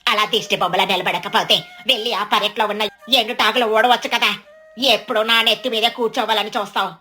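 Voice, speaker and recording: Woman playing a bitter nagging old mother-in-law, bright edge. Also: mid-range voice, fairly even intonation, brisk, faint music behind, lightly acted. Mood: scornful complaining. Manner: sarcastic, complaining.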